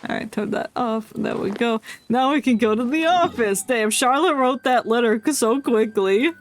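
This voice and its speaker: A woman's voice: a little high, normal speed. A woman doing casual, playful, giggly commentary as she plays through a game on stream.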